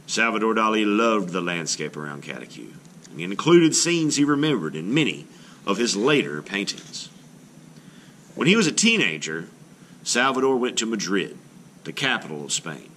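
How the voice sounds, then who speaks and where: A man reading a children's book aloud as an art teacher, in an expressive, explanatory way meant for children. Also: on the low side, slight background noise.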